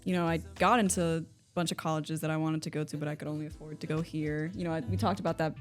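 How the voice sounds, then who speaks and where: A woman's voice: deep voice, level tone, fast, faint music behind. A woman studio guest chatting casually, with lots of "like" and "um".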